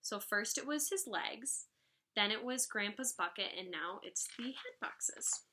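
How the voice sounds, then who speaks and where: Woman, thirties, high bright voice, expressive picture book read-aloud, teacherly explanations. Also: unhurried. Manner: expressive, instructive. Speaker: music teacher. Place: living room at home, indoors. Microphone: device mic about arm's length away.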